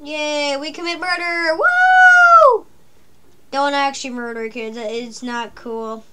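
A woman's voice: high voice, lively intonation, slow pace, very noisy. A woman giving casual, jokey running commentary while she plays a video game, chatting to herself as she goes. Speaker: let's play gamer.

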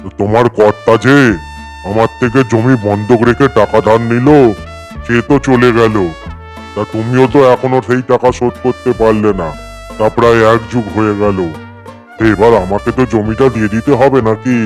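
An actor playing a demanding older village moneylender and landlord in a cartoon. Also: male voice, lowish voice, fairly even intonation, moderate pace, faint music behind, natural voice. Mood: stern, pressing. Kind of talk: cartoon dialogue.

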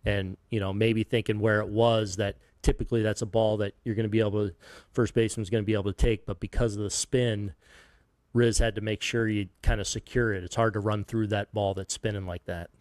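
A man in his fifties, a baseball manager at a postgame press conference, answering in a halting, soft-spoken way with many restarts, sounding heartbroken. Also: on the low side, level tone, no room sound, clean studio sound. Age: 50s. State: heartbroken, subdued. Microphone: table microphone.

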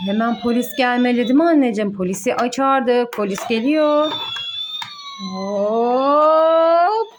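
A woman's voice: a little high, a little slow. A woman, a mother, narrating toy play for children, encouraging and exclamatory as she talks to her small child and to viewers. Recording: light background noise with clicks.

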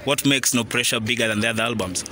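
A man asking interview questions in a hesitant, halting way. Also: medium pitch, a crowd in the background.

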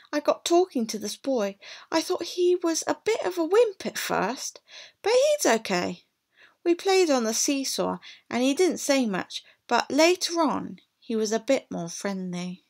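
A woman's voice: on the high side, exaggerated voice. A woman voicing a cheerful, cheeky, outspoken young girl in a storybook, in a chatty manner. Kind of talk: storybook read-aloud.

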